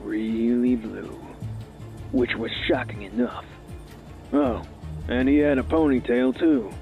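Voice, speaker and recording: A man's voice: medium pitch, steady tone, slow pace, gravelly, soft background music. Phone-filtered male agent giving a report, acted by a man. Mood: matter-of-fact. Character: matter-of-fact male agent giving recorded mission testimony. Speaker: voice actor. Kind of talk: anime parody audio drama acting. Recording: thin phone-like filtered playback.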